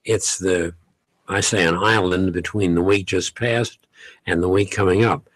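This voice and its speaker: An older man, a longtime radio host, answering questions in a relaxed, unhurried way in a radio studio. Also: low-pitched, no echo. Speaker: veteran radio host guest. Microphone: studio mic with headphones.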